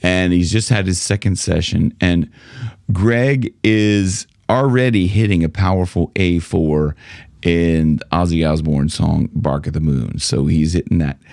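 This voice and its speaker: Low-voiced middle-aged male vocal coach. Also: a little slow, creaky, no echo, clean studio sound. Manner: animated, gestures with both hands, many fillers. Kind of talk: direct-to-camera coaching talk. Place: small home studio room with guitars on the wall. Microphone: large studio mic in front of him.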